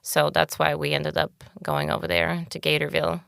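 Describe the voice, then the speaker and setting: A woman's voice: deep voice, big pitch swings, normal speed, gravelly, fairly bright tone, clean tone, dry recording, studio quality. A young woman from Bulgaria, a native Bulgarian speaker, telling her story candidly as a podcast guest. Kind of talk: casual interview talk.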